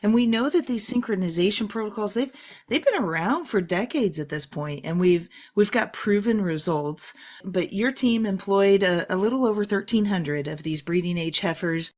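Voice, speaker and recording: A woman's voice: medium pitch, expressive, normal speed. A woman hosting a podcast, giving prepared introductions and putting interview questions to a guest. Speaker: podcast host.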